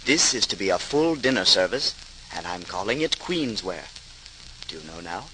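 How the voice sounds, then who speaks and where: An actor playing a modest, earnest and dedicated potter in an old-time radio drama, on a noisy vintage recording. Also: male voice, deep voice, very animated, normal speed, sharp tone, exaggerated voice. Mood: eager, pleased.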